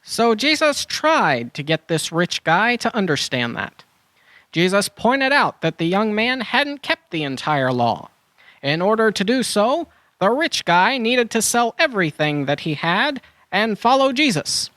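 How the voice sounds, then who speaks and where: A performer playing an eager animal adventurer in a children's audio drama, earnest and expressive. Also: mid-range voice, unhurried, no room sound.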